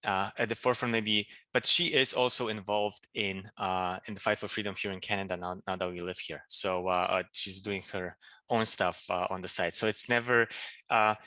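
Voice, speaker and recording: A man from Poland with a Polish accent, answering interview questions in a halting way with many restarts. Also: on the low side, steady tone, bright, forceful tone, no room sound. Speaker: political candidate.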